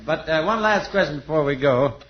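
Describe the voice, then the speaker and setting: Man, radio comedy host, fairly high voice, animated intonation, muffled vintage sound. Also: fairly quick. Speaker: comedy show host, interviewer. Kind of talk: 1950s radio comedy hosting, straight man. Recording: very noisy, muffled old radio recording.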